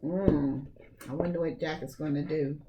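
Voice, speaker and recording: A woman's voice: sits low, fairly even intonation, moderate pace, warm tone, a little hiss or hum. A woman reading a storybook aloud to young children expressively, with casual asides and emphatic phrasing. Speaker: preschool read-aloud storyteller.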